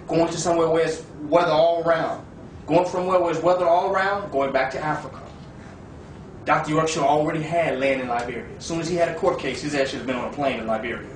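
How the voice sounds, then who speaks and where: High-voiced preachy man in an echoey room. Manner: preachy, rhetorical, asks "you understand?". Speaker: discussion participant. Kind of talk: impassioned debate. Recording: echoey room, far from the mic, with noise.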